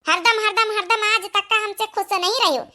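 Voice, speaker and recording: A woman's voice: very high-pitched, moderate pace, sharp tone, heavily acted. A woman playing an outspoken young village daughter-in-law with an Awadhi accent in a cartoon comedy, arguing her point repetitively. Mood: reproachful. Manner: argumentative, repetitive.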